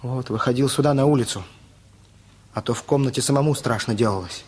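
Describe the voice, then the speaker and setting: An actor playing a lovesick, wounded teenage boy, earnest and halting, in a radio play. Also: medium pitch, a little mellow, husky, close to an everyday voice. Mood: anguished, confessing.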